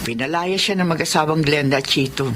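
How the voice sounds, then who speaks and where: An actress playing a compassionate, humble mother in a Filipino radio drama, explaining things gently. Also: sits low, brisk, noisy background, natural voice. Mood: sympathetic.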